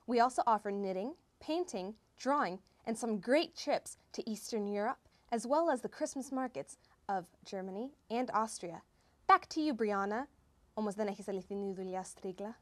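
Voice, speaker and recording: A woman's voice: medium pitch, animated, normal speed. A woman doing a scripted mock TV news report as a field reporter, with an exaggerated, peppy delivery. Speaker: field reporter in a college's comedic promo newscast.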